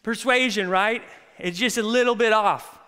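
A man in his thirties, a pastor, preaching a sermon with prayer and lively stories that he acts out. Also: high-pitched, moderate pace, smooth. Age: 30s. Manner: animated, storytelling with acted-out quotes. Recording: long reverb of a church hall.

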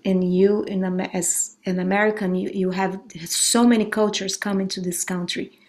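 A woman's voice: mid-range voice, flat delivery, normal speed, darkish tone. A woman from Brazil with a Brazilian Portuguese accent, a musician answering interview questions thoughtfully and often restarting her sentences. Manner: thoughtful, searching for words, frequent restarts. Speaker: musician guest. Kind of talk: conversational interview.

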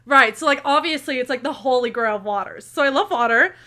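Bright, chatty young woman podcaster. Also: high voice, a little fast. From Tulsa, Oklahoma. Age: 20s. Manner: chatty, upbeat, lots of fillers. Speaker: podcast co-host. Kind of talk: casual chat.

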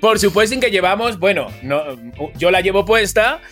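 A man's voice: high-pitched, animated, moderate pace, a bit bright, soft background music. A man with a Mexican accent co-hosting a casual comedic podcast in a playful, campy, exclamatory way. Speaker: podcast co-host. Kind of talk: casual comedic banter among co-hosts.

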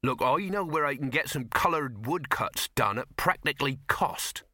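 A man's voice: medium pitch, animated, moderate pace, sharp tone, no room sound, clean studio sound. A man voicing a persuasive, enthusiastic male huckster promoter in a comic audiobook. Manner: persuasive, salesmanlike.

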